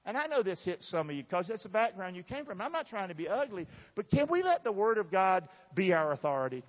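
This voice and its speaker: High-pitched Southern preacher man in a hall. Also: sing-song, fast. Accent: Southern American. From southern United States. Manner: emphatic, folksy, asks the audience questions. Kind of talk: sermon to a congregation. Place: large church room. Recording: dull, reverberant large hall sound.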